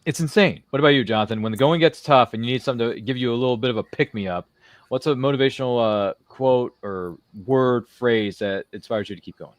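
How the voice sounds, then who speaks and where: A man with a slightly low-pitched voice hosts a casual interview show. He rambles along chattily, dropping in quotes and small impressions. The sound is dry, with very little room in it. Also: a little fast, dry recording. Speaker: show host.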